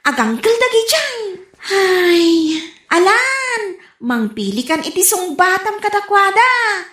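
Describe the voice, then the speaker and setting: An actress playing a cheeky young niece in a comedic radio drama, giggly and teasing. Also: female voice, very high voice, big pitch swings, slow pace, pushed and bright, roomy sound, full character voice. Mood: teasing, cheerful.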